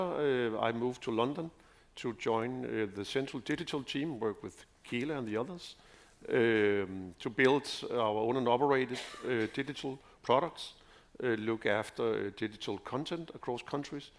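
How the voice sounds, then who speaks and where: A man from Denmark with a clear Danish accent introducing himself on an industry panel at a mid pitch. His delivery is hesitant and packed with fillers as he lists his roles. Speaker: panelist, digital product lead. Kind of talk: conference panel talk.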